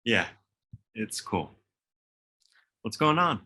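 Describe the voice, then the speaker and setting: Slow, bright, low-voiced man as forensics tech. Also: big pitch swings, husky, exaggerated voice. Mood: casual, dry. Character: laid-back, mildly exasperated male forensic evidence technician. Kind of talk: table read of a comedy script.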